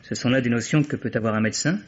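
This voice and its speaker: A male actor plays a defiant young son in a vintage radio whodunit. His mid-pitched voice is rough-edged, and he makes dramatic, resolute declarations. The audio is dull and lo-fi. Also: rapid-fire, natural voice. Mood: accusing. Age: young adult. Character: earnest, defiant young son of a bourgeois family. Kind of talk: vintage French radio mystery acting. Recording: dull, lo-fi old radio recording.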